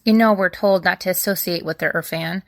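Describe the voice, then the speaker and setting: A woman's voice: lowish voice, fairly even intonation, fairly quick, vocal fry, dry recording, clean studio sound. A young woman playing a flustered, chatty witch in an audio drama, rambling awkwardly and correcting herself as she dictates a letter. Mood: nervous, rambling. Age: young adult. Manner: rambling, awkward, self-correcting, sighing asides. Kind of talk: audio drama monologue, conversational.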